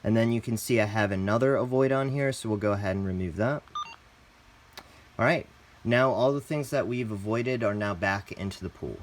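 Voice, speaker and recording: Casual man presenting, clear background noise. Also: on the low side, normal speed. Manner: casual, plain instructional, addresses viewers as guys. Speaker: host, tutorial presenter. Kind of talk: step-by-step product tutorial.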